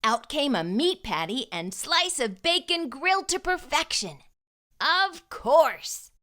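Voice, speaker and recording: A woman reading a storybook aloud to young children, with expressive, emphatic delivery and deliberate phrasing. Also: high voice.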